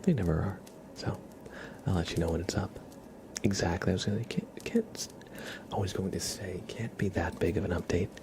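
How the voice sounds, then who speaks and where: A man hosting an ASMR livestream, chatting casually to viewers in a soft, mostly whispered, gentle and unhurried murmur. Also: low voice, steady tone, noisy background.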